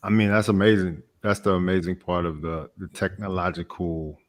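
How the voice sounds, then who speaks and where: A man's voice: low-pitched, flat delivery, moderate pace. A man chatting casually as an auditioning actor on a live stream. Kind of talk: casual chat.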